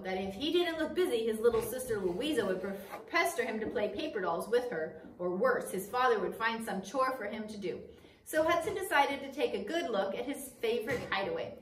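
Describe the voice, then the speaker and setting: Female storyteller, middle-aged, expressive read-aloud delivery; very roomy classroom sound, slightly distant mic. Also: a little high, a little hiss or hum. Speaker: teacher reading a book aloud. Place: small indoor classroom. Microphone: camera across a table.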